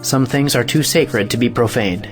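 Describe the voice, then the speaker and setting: A man's voice: medium pitch, moderate pace, faint music behind, natural voice. A man playing a cynical, defiant free-thinker in an audio play, speaking with sardonic emphasis. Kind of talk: audio stage play acting.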